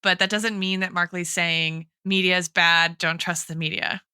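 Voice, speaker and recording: Bright, crisp-voiced woman thinking aloud. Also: on the low side, little pitch movement, normal speed, no echo, studio quality. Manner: reflective, analytical, hedging with frequent tags like "right" and "like". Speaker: podcast host and guest expert, a teacher. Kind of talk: thoughtful literary podcast discussion.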